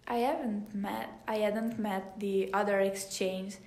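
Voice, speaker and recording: A woman's voice: medium pitch, steady tone, measured pace, darkish tone. A teenage female student from Italy with an Italian accent, a native Italian speaker chatting in an interview and searching for words in English. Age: teenager, high school student. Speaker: podcast guest, exchange student. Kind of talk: casual interview chat. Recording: roomy echo on the voice.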